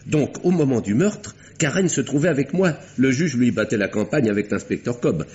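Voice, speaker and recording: An actor playing a calm doctor-detective who exposes a murderer in an old radio drama, speaking in a measured, pointed way with dramatic pauses. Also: male voice, medium pitch, close to an everyday voice. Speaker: Doctor Westlake. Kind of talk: 1950s radio drama acting. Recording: dull old radio recording.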